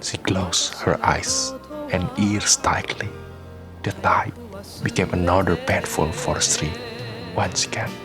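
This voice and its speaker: A man narrating a scripted radio drama in measured, dramatic short phrases. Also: deep voice, steady tone, over music.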